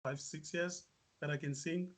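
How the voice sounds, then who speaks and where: A South African musician answering interview questions, hesitant with many fillers. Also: male voice, on the high side, steady tone, normal speed. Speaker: musician guest.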